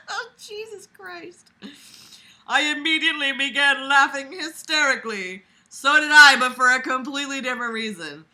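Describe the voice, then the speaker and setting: Sarcastic young woman with a bright voice. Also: high voice, rough recording. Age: young adult. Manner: sarcastic, exasperated, blunt and sweary. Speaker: vlogger reading a book aloud with commentary. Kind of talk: casual reading with mocking commentary. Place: small room at home, lying on a bed.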